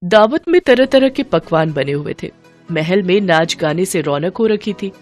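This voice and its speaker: A woman narrator telling a scripted children's story in an expressive storytelling manner. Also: fairly low pitch, normal speed, soft background music.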